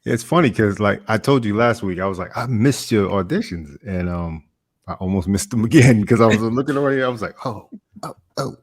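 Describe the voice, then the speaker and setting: Man, deep voice, casual chat, auditioning actor. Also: quick pace.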